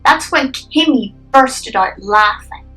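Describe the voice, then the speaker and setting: A woman's voice: sits high, lively intonation, takes it slowly, pushed and bright, far from the mic, soft background music. A young adult woman reading scripted narration for a dramatic story.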